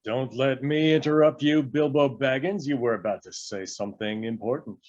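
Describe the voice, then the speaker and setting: Man as towering wizard, deliberate, authoritative, muffled recording, fantasy script reading. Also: medium pitch, a little mellow, natural voice. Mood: commanding. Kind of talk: table read acting. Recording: dull, muffled lo-fi microphone.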